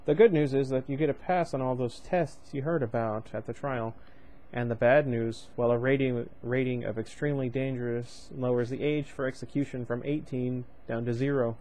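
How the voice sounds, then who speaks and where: A snide, prissy teenage informer, as a man reading a story aloud puts him on. The voice is nasal and the delivery is smug and drawn out, with sneering emphasis. The tone stays mellow and dark. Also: medium pitch, fairly even intonation, moderate pace, few pauses, close to an everyday voice, poor audio.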